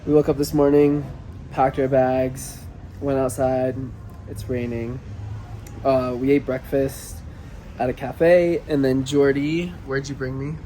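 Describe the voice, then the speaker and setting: A man's voice: medium pitch, little pitch movement, slow pace, warm tone, slight background noise, rough recording. Stuffy-voiced man vlogging while traveling. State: congested with a cold. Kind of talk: casual travel vlog narration.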